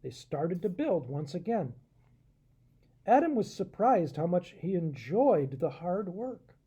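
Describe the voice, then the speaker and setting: Pastor guy doing kids storytime, husky mellow voice, really expressive. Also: high voice, slow. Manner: animated, asks the listeners questions. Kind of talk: expressive children's storybook read-aloud.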